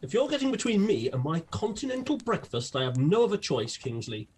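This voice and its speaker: Actor as eccentric British sleuth, grandiloquent, rambling, comic radio drama, wide-swinging pitch. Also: very animated, a little fast, a little mellow, slight background noise, natural voice. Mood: pompous, rambling. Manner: grandiloquent, theatrical. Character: eccentric, unhinged, gluttonous detective, pompous and rambling. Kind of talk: comic radio play acting.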